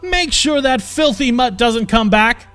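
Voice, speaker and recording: A man voicing a hot-tempered bald bandit captain in an audiobook, sneering and exasperated with drawn-out emphasis. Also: very high voice, expressive, bright, forceful tone, faint music behind, pitched above the performer's own voice, heavily acted. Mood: furious.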